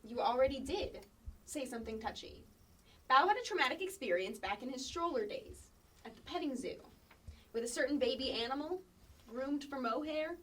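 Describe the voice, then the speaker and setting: Precocious child classmate voiced by a woman, high and very lively, assertive tattler for sketch comedy. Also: moderate pace, husky, recorded from a distance, heavily acted, low-quality recording. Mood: knowing, insistent. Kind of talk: staged reading of sketch comedy.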